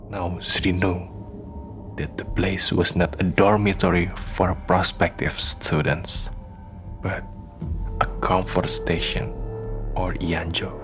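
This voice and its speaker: A man narrating a scripted radio drama in a solemn, measured storytelling manner. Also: sits low, flat delivery, fairly bright tone, soft background music.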